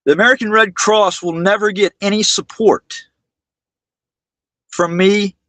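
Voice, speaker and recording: A man hosting a livestream monologue, speaking indignantly and haltingly, often repeating his words. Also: high-pitched, fairly even intonation, a bit bright, no room sound. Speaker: livestream host.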